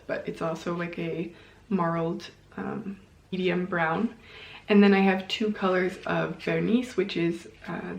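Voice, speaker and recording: A woman vlogger chatting casually to camera, thinking aloud in a rambling way and correcting herself as she goes, with a creaky voice. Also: medium pitch, muffled recording. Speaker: knitting vlogger and host. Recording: roomy, echoing indoor sound.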